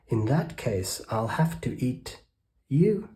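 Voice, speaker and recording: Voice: medium pitch, sing-song, slow, dark tone. A reader voicing a bellowing greedy rat thief. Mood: menacing. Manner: bellowing, boastful. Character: greedy bullying male highway rat. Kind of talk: storybook read-aloud.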